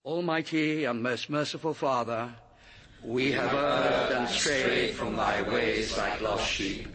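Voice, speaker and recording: An actor playing a solemn clergyman reciting a prayer deliberately in a radio drama. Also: medium pitch, a loud crowd. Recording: congregation of voices around him.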